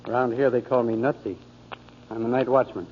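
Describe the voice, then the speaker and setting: A man's voice: medium pitch, fairly even intonation, brisk, lightly acted. An actor playing a mild, talkative night watchman and homespun philosopher, genteel and wordy, in 1940s radio drama style. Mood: musing, amiable. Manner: genteel, wordy, reflective phrasing. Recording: muffled, hissy 1940s radio broadcast recording.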